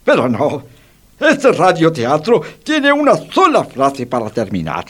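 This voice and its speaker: An actor playing a distraught, anguished psychiatrist in a comic radio play, with an Argentine accent and melodramatic delivery. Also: male voice, a little high, huge pitch swings, a little slow, a bit bright, heavily acted. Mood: grateful, solemn. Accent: Argentine, Rioplatense. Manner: melodramatic, wailing exclamations.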